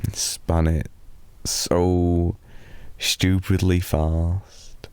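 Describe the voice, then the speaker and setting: Slow, deep-voiced British man murmuring. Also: flat delivery, clean tone, no echo. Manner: soft, slow, drowsy storytelling. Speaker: ASMR boyfriend roleplayer. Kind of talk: improvised sleepy ASMR rambling.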